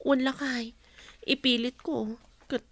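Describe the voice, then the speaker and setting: High-voiced earnest young Ilocano wife. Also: female voice, steady tone, slow pace, fairly bright tone, no echo, heavily acted. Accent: Filipino, Ilocano. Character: earnest young wife, a farm-produce seller troubled by suspicion. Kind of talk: radio drama acting. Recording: music bed under radio drama dialogue.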